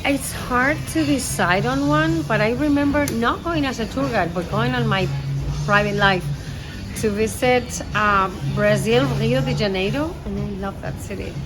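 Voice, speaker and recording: A woman from Spain whose first language is Spanish, a tour guide answering interview questions in a lively way, laughing often and searching for words. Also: fairly high pitch, normal speed, over music, low-quality recording.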